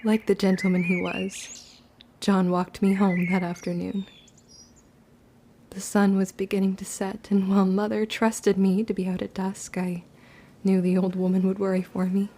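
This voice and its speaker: A woman's voice: mid-range voice, completely flat delivery, normal speed, mellow, a little hiss or hum, natural voice. A woman playing a guarded, wounded young witch girl who tells her story in formal period speech in an audio drama. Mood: wistful. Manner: archaic, formal period diction. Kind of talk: confessional first-person audio drama narration and dialogue.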